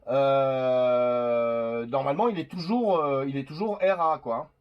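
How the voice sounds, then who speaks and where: A man's voice: mid-range voice, slow, smooth, lo-fi sound. A man in a casual CB radio chat, speaking politely and hesitantly as he circles round his point. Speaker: CB radio operator.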